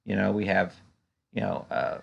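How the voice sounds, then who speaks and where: Low-pitched, gravelly man giving market commentary. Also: little pitch movement, moderate pace, lo-fi sound, a little hiss or hum. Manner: conversational, hesitant, frequent "you know". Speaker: stock market analyst and video host. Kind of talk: unscripted market chart commentary.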